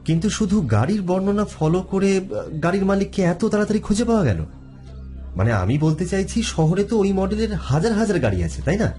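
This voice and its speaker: A man's voice: sits high, very animated, brisk, mellow, soft background music. A man playing a witty, food-loving detective in an audio drama, talking conversationally and thinking aloud. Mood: pondering, suspicious. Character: witty food-loving married male detective, shrewd and probing.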